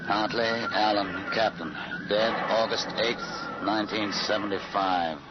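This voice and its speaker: A man playing a brisk, grim army doctor in old radio drama style. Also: fairly low pitch, steady tone, lightly acted. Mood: grim, flat. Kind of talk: 1950s radio drama acting. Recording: muffled, very noisy vintage radio recording.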